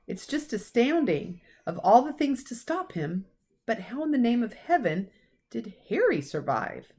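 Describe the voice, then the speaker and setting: A woman's voice: fairly high pitch, sing-song, a little slow, a little mellow, breathy edge, voice pushed up, heavily acted. Lady doing a strict older witch teacher in a kids book, indignant, stresses her words, bit anxious. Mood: shaken, distressed. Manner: emphatic, indignant.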